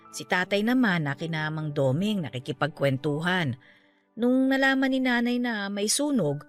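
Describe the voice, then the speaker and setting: A low female voice over soft background music, a middle-aged aunt in a radio drama. She is grieving yet steadfast and caring, and talks in a natural, conversational way. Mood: sorrowful recounting. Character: grieving aunt who just lost her parents, caring, steadfast. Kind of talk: Tagalog radio drama acting, naturalistic dialogue. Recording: music bed under the voice.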